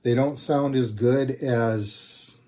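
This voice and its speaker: Male reviewer, slow pace, soft-edged mellow tone, casual, halting, self-correcting. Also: mid-range voice, fairly even intonation. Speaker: product reviewer, channel host. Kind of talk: casual unscripted product review.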